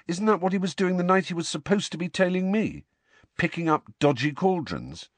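An earnest teenage boy , as a male narrator puts him on, pitched up and quick, with lively intonation. Dry, close storybook sound. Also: no echo. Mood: indignant.

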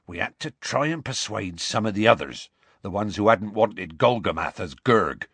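Huge gruff bloke for an audiobook, raspy, rambly, warm hearted, really animated. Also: male voice, on the low side, moderate pace, no echo, full character voice. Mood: earnest explaining. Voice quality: gruff, growly. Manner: colloquial, rambling, dropped consonants. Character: big gruff rustic man, warm-hearted, indignant storyteller.

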